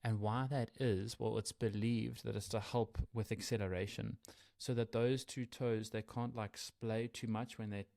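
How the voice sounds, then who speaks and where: Male co-host, South African accent, low and gravelly, mellow tone, laid-back rambling delivery. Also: moderate pace, no echo, clean studio sound. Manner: relaxed, laughs often, rambling explanations. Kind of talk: casual podcast chat.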